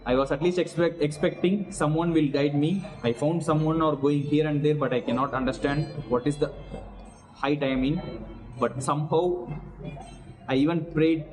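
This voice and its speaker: A man's voice: on the high side, fairly even intonation, moderate pace, over music, rough recording. A man vlogging a casual debrief, earnestly walking through what he did step by step. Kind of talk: casual personal debrief to camera.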